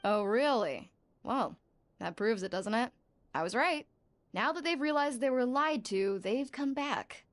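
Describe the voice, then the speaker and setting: A woman's voice: fairly high pitch, lively intonation, unhurried. A woman playing a blunt, feisty young bathhouse worker in a video game, brash and impatient. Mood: smug.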